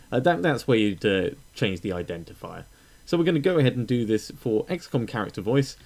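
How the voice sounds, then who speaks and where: A man with a fairly low voice presents a software tutorial as a voice-over. He explains things step by step in a methodical, instructional manner while keeping the wording informal. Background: voice-over for a screen recording.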